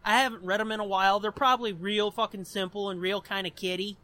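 A man's voice: high voice, fairly even intonation, fast, bright, forceful tone. An American man in his twenties hosting a podcast, chatting casually and telling personal stories in a rambling, profanity-laced way. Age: 20s. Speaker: podcast host.

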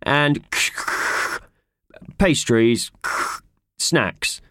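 A man voicing a loud train announcer in a children's storybook, declaiming drawn-out lists. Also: medium pitch, smooth, dry recording, pitched above the performer's own voice. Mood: droning announcement. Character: loud train announcer reeling off stops and snacks.

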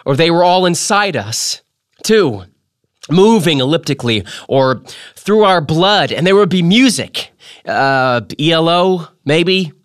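A man reading a memoir aloud as a podcast host, in a theatrical, emphatic style with dramatic pauses. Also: fairly high pitch, expressive, clean tone, dry recording, studio quality. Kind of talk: expressive dramatic literary reading.

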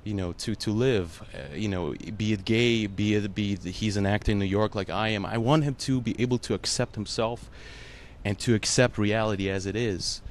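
Russian-born male actor at a panel: low-pitched, heartfelt, halting delivery over faint room noise. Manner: earnest, emotional, many "you know" fillers. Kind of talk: panel interview answer.

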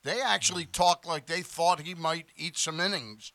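Bright-voiced man talking baseball on radio. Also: fairly high pitch, fairly even intonation, normal speed, dry recording. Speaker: sports radio show host. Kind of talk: casual baseball discussion.

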